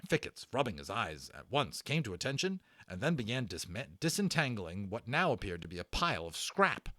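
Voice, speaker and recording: A man narrating a storybook as a dramatic, expressive read-aloud. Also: on the low side, brisk, dry recording, clean studio sound.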